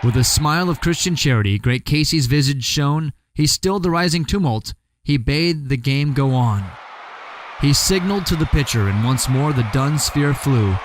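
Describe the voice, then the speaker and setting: Male poetry reader, fairly high voice, theatrical delivery, audible background noise. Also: expressive, normal speed. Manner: theatrical, swings pitch and pace for emphasis, bursts into shouts. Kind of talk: dramatic poetry recitation.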